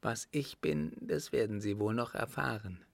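A man's voice: lowish voice, expressive, moderate pace, creaky, no room sound. A man voicing a bold, cool-headed young woman in an audiobook, composed and defiant. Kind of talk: audiobook character voice.

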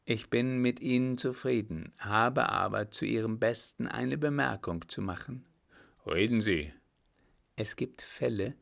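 A man's voice: mid-range voice, gravelly, a bit bright. A man doing a smug scheming baron. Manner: cool, drawn-out and deliberate.

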